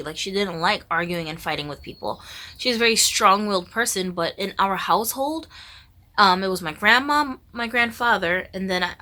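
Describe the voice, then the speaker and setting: Bright-voiced woman vlogger, chatty storytime. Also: mid-range voice. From Korea. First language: Korean. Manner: chatty, candid, lots of "like" fillers. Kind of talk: storytime vlog.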